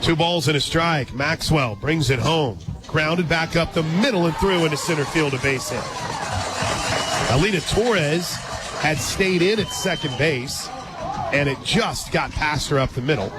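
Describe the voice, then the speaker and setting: A man doing live radio play-by-play of softball, chatty and informal, drifting into conversational asides between pitch calls. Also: a little slow. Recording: crowd noise and loud music behind. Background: ballpark crowd, music, outdoor stadium ambience.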